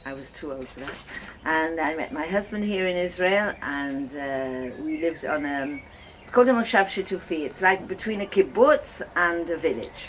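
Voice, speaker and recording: An older woman from Wales telling her life story casually, reminiscing in a halting, conversational way. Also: low-pitched, animated. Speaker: host of guest lodging, farmer's wife. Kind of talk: casual personal storytelling to guests. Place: informal talk to visitors, filmed by a guest. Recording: noisy ambient home video.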